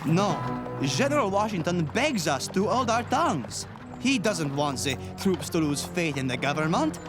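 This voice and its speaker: A man's voice: high voice, sing-song, normal speed. A gallant nobleman. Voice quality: gallant, flowery. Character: dashing young French nobleman, a general. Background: music score.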